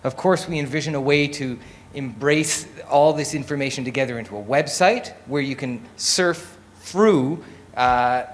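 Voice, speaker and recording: A man leading a live project pitch as main presenter, speaking in a conversational way. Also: fairly bright tone. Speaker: lead presenter.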